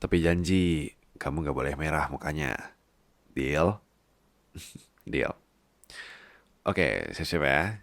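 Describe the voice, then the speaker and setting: A man's voice: a bass voice, animated, slow, gravelly, pauses often, a bit bright, exaggerated voice. A man playing a doting, worried boss husband who is clingy like a big baby, coaxing and teasing tenderly in an intimate ASMR roleplay. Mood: affectionate teasing. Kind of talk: intimate ASMR boyfriend-style roleplay in casual talk.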